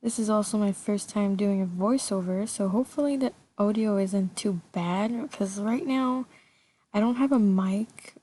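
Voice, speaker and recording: Young woman in her 20s with a French Canadian accent, French native speaker. Casual, self-deprecating voice-over on a laptop mic, middle pitch. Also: unhurried, mellow. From Montreal, Canada. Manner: chatty, self-deprecating, hesitant fillers. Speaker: YouTuber. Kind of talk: casual game build voice-over. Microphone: laptop built-in mic.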